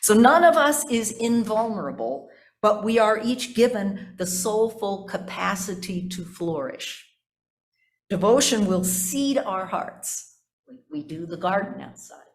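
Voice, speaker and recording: A woman pastor preaching a sermon in measured, deliberate phrases, with repetition and conversational asides to the congregation. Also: mid-range voice, expressive.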